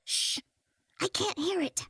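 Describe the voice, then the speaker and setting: A woman voicing a small green male spider creature, fretful, morbid and squeaky, in an audio comedy. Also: takes it slowly, bright, forceful tone. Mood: fussy, worried. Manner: melodramatic, stumbles over long words. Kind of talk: audio comedy cartoon acting.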